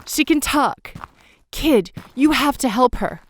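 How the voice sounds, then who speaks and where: A woman's voice: high voice, expressive, takes it slowly, a little hiss or hum. A woman acting as an earnest, emotional doctor, a ghostly companion to a survivor, in a radio drama. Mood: urgent, pleading.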